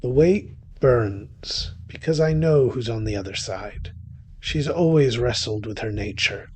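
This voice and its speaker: An actor playing a wry, secretive male witch who narrates a horror-thriller audio drama in a theatrical, deliberate manner. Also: medium pitch, animated, a little mellow, slight background noise, close to an everyday voice. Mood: brooding. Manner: wry, theatrical, deliberate, with sudden swells and drops. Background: music under the voice.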